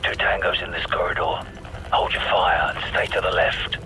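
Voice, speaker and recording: An actor as a hardened British special forces leader in a shooter game. He speaks fairly low, in clipped, controlled commands, over clearly audible outdoor noise. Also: flat delivery, normal speed, close to an everyday voice. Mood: hushed command. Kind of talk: tactical game dialogue.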